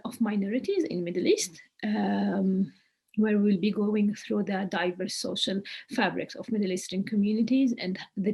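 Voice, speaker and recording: Woman with a husky, gravelly voice. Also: mid-range voice, darkish tone. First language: Arabic. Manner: halting, many fillers. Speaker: course mentor, archaeologist. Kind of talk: introductory online lecture.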